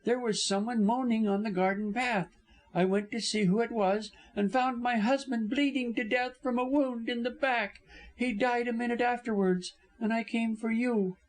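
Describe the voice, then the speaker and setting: Man voicing a high, overwrought young wife. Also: fairly even intonation, normal speed. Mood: haughty, deliberate. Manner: gasping, vehement, haughty. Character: pretty young wife, frightened, imperious and impatient.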